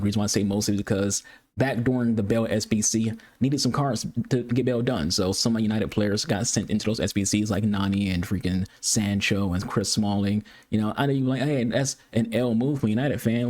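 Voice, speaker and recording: Male gaming creator, lowish voice, rapid cluttered delivery, frequent restarts, casual review talk. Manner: fast, cluttered speech with repeated words; excitable high-pitched greeting. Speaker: gaming YouTuber. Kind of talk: casual game review commentary.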